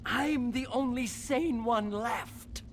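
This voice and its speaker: A man's voice: very high voice, slow pace, slight background noise, full character voice. A man playing an unhinged, feverish research assistant in a video game, prophesying doom in a theatrical, portentous manner. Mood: defiant, feverish. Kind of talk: dramatic video game dialogue. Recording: loud game music under dialogue. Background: music.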